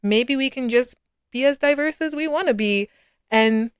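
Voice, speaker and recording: Casting: woman, early twenties, from Barbados, smooth clear voice, casual reflective podcast host, close dry sound. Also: high-pitched, normal speed, no room sound.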